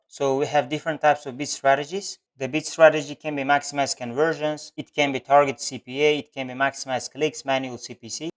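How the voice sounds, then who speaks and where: A man giving instructional narration for a screen-share tutorial, explaining things step by step as an online tutor. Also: fairly high pitch.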